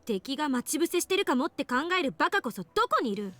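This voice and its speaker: Sharp-tongued anime game heroine: high, extremely quick, irritable and defensive. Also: female voice, sing-song, heavily acted. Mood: annoyed, defensive. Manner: snappy, defensive. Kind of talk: Japanese visual novel voice acting.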